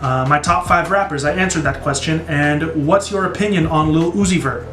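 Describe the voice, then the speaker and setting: Young American male YouTuber, casual and enthusiastic, slightly higher-pitched voice, roomy sound over background music. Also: normal speed, a bit bright. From born in Brazil, grew up in the United States from age three. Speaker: YouTube music reaction host. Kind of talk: casual Q&A chat to viewers. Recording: roomy echo with music behind.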